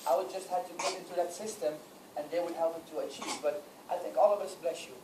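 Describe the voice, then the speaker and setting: A man in his late twenties gives a motivational talk as a non-native English speaker. He repeats words haltingly and puts questions to the room. The sound is distant and echoey, with clear background noise. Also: fairly high pitch, steady tone. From Kurdistan, northern Iraq; later northern Netherlands. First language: not English. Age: late 20s. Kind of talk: motivational lecture to students. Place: lecture auditorium. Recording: noisy echoey auditorium, distant microphone.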